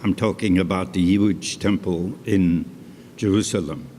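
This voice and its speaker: An older man, his voice sitting fairly low, talks slowly and deliberately through his own life story. He drops chatty asides to a live audience. His words ring out with a long hall reverb and a faint hum behind them. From Poland. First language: Polish. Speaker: stage storyteller and cantor. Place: concert hall stage.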